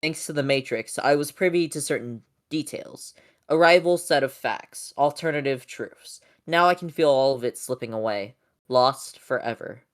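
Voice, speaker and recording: A person voicing a solemn robot war leader in grave, measured, reflective comic-dub narration. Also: mid-range voice, close to an everyday voice. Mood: mournful.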